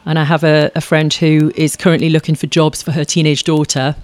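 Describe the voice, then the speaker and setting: A woman's voice: low-pitched, flat delivery, fairly quick, a little mellow, no echo. A woman performer being interviewed, talking in a chatty, rambling way, often adding tag questions and restarting her sentences. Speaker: guest performer. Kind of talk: conversational interview.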